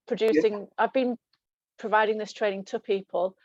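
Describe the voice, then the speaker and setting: A woman with a medium-pitched voice speaks conversationally in an online meeting. She is tentative and hands turns to others. The sound is close and dry but somewhat dull. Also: steady tone, moderate pace, darkish tone, dry recording. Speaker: aviation English trainer.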